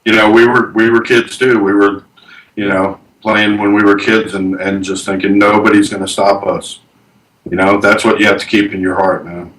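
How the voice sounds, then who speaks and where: A man in his forties talks as a band guest, earnest and rambling. His low voice comes from away from the mic in an echoey room. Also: flat delivery, moderate pace, a bit bright, recorded from a distance. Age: 40s. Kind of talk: casual interview chat.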